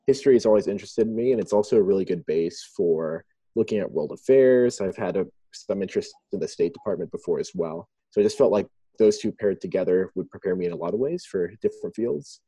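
A man's voice: deep voice, animated, fast, hardly any pauses, mellow, breathy edge, no echo. A young man from Thailand, a student guest, answering interview questions over a remote call in a thoughtful, rambling, hesitant way. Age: young adult.

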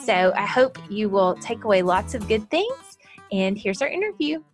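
A woman's voice: medium pitch, big pitch swings, moderate pace, faint music behind. A woman hosting an interview podcast, introducing a guest and asking upbeat, encouraging questions. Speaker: podcast host.

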